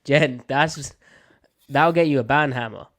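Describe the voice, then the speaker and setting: A man's voice: medium pitch, unhurried, fairly bright tone. A young British man hosting a live sports podcast, chatting in a playful, opinionated way, a little snuffly from a slight cold. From United Kingdom. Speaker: podcast host. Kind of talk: casual live podcast chat.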